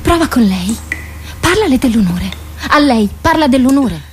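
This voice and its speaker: An actress playing an insistent confidante in a radio drama, probing and urging with deliberate theatrical delivery. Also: female voice, high voice, very animated, slight background noise.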